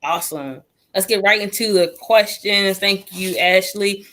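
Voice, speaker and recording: A woman's voice: mid-range voice, measured pace, sharp tone. A woman moderating a casual panel, thanking guests and putting questions to them. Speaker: host, moderator.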